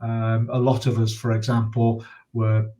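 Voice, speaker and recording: A mature man with a British accent speaks in a reflective, conversational way. His delivery is earnest and measured, with hesitant pauses as he chooses his words. His pitch sits in an ordinary male range. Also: completely flat delivery, mellow. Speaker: interview guest, bereaved father and campaigner.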